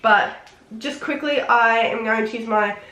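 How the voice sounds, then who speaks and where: A woman's voice: fairly high pitch, unhurried. Nasal, sniffly woman vlogging. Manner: chatty and excitable. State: sick with a head cold, nasal and sniffly. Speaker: beauty vlogger. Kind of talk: casual makeup tutorial. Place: home room. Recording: roomy, echoey room.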